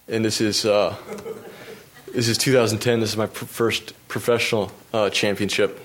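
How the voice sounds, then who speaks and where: Young american man, lowish voice, relaxed storyteller, lots of ums, self-mocking laughs. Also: moderate pace. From United States. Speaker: pro cyclist giving a talk. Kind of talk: storytelling presentation with slides.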